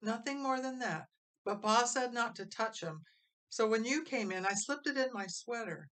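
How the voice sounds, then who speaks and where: A woman's voice: a little high, expressive, moderate pace, a bit bright, pitched above the performer's own voice. A woman voicing a guilty young boy in a storybook, tearfully blurting out a pleading, folksy confession.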